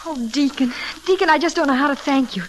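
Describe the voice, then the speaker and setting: An actress playing an eager, anxious young sweetheart in an old radio drama, breathless and earnest. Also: female voice, high voice, fast talker, natural voice. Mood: grateful. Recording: noisy vintage radio recording.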